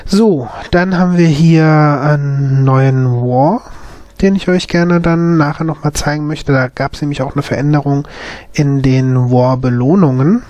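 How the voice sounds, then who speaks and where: A man with a mild cold hosts a casual, unscripted game commentary. His voice sits a little high. He often hesitates to find his words, and the sound is very dry, with no room echo. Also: a little slow, a little mellow. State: has a slight cold. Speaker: gaming video host.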